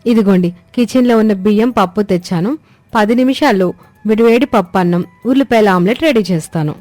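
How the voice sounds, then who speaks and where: A woman voicing a kind, capable young village daughter-in-law in a cartoon story, brisk and reassuring. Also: mid-range voice, darkish tone, dry recording, faint music behind, close to an everyday voice. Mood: eager, warm.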